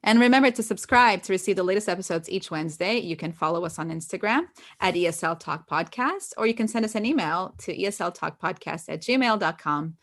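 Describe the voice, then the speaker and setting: A woman from Brazil, co-hosting a podcast as a brisk, encouraging interviewer. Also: fairly low pitch, fairly bright tone. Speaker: podcast co-host.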